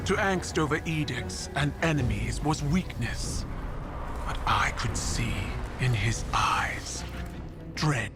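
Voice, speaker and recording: An actor playing a grave, resolute warrior, giving a solemn, measured monologue about an old foe in a game cutscene. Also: male voice, mid-range voice, natural voice. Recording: loud music behind the voice.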